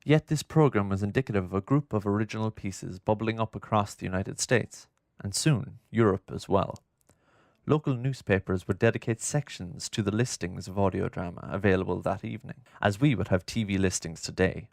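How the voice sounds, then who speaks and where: A man narrating a scripted documentary in a formal, measured, literary way, close on the microphone. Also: fairly low pitch, creaky, darkish tone, dry recording. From Ireland. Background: music.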